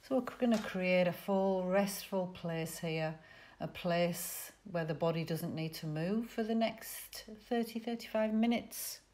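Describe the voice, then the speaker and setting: A woman teaching yoga nidra, giving soothing, unhurried guided relaxation instructions with pauses between phrases. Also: fairly low pitch, darkish tone.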